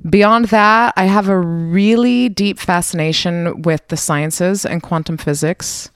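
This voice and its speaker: A woman's voice: fairly low pitch, takes it slowly, clean tone, no echo, studio quality. A woman chatting informally on a radio show, explaining things in a meandering, conversational way. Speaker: radio show co-host and tarot reader. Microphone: close studio radio mic.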